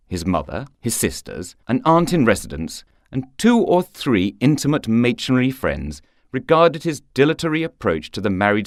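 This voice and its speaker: A man narrating a short story for radio in a theatrical, expressive manner. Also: fairly low pitch, dry recording, studio quality. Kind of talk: scripted literary narration for radio.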